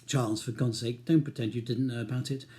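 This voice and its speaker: A man's voice: mid-range voice, steady tone, quick pace, dark tone, lightly acted. A man reading an audiobook, voicing a cold, scornful upper-class wife who speaks in a beautifully cutting way. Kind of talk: audiobook character dialogue.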